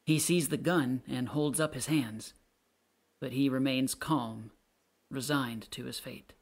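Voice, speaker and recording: Slow, measured male narrator. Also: mid-range voice. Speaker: narrator reading stage directions. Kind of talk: scripted narration.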